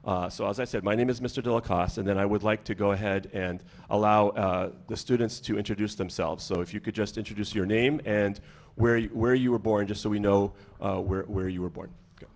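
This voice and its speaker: A man's voice: lowish voice, fairly even intonation, a little fast, a little mellow. A man from Boston, a teacher moderating a student panel, talking unscripted and rambling as he searches for words. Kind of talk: unscripted panel introduction and questions.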